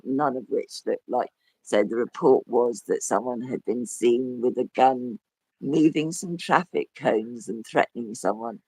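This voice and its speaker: A man with a croaky, hoarse voice, talking as a guest in a rambling casual chat that trails off and restarts. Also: mid-range voice, darkish tone, no echo, rough recording. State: hoarse with a cough. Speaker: podcast guest. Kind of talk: casual conversation.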